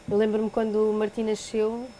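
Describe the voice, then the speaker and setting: A woman telling personal stories in an interview in a hotel room, with a warm, laughing manner. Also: a little high, a little hiss or hum. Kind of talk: candid interview.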